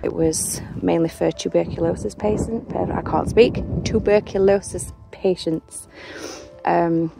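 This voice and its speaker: A young woman who hosts an exploring vlog. She talks in a relaxed, chatty way, with fillers, and also reads narration. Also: on the low side, measured pace, vocal fry, over music, rough recording. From United Kingdom. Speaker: urban exploration vlogger. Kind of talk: casual vlog chat and scripted narration.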